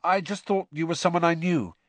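A man's voice: on the high side, steady tone, a little fast, dry recording, voice pushed up, lightly acted. A man voicing an earnest, plainspoken teenage boy wizard in a storybook.

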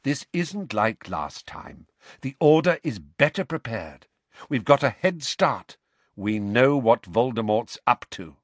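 A man voicing a steady middle-aged wizard who speaks firmly and soothingly, in an audiobook reading. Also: medium pitch, takes it slowly, dry recording. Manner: firm and soothing. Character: calm, steady middle-aged wizard, kindly but firm. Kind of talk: audiobook character voice.

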